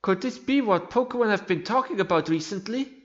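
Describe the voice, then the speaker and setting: A man who is a non-native English speaker voicing an excitable, incredulous game creature, exclaiming in disbelief. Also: high-pitched, a little fast, lo-fi sound, a little hiss or hum, voice pushed up. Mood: alarmed, incredulous. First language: not English. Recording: music behind the voice.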